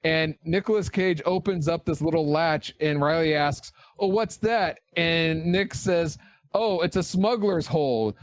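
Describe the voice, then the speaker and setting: A man's voice: fairly high pitch, measured pace, no room sound. A man co-hosting a podcast, chatting casually about a movie and mocking its dialogue in playful put-on voices. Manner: animated, acts out film lines in mocking voices. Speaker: podcast co-host.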